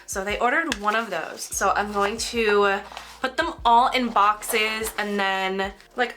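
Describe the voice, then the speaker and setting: A woman's voice: medium pitch, pushed and bright. A young woman with a slightly nasal voice, tired from a cold, rambling casually while she packs orders. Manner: rambling, heavy use of "like". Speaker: crafting vlogger.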